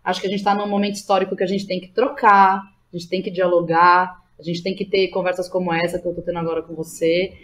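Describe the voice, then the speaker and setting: A woman's voice: lowish voice, flat delivery, fast talker, few pauses. A woman with a Brazilian accent speaking casually as an interview guest, colloquial, effusive and emphatic. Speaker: podcast guest, playwright and educator.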